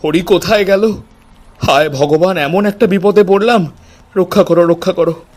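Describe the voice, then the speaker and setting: A man voicing a loud, panicked fisherman. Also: sits high, moderate pace, pitched above the performer's own voice, lightly acted. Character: panicked male fisherman pleading to God.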